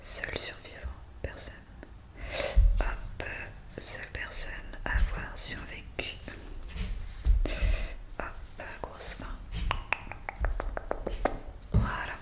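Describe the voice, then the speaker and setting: A woman doing an ASMR roleplay in a breathy whisper, explaining things politely and hesitantly, close to the microphone. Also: drawn-out words, pauses often. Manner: hesitant, improvised explaining with polite formal address. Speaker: ASMR roleplayer playing a zombie intake worker. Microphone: close USB condenser microphone.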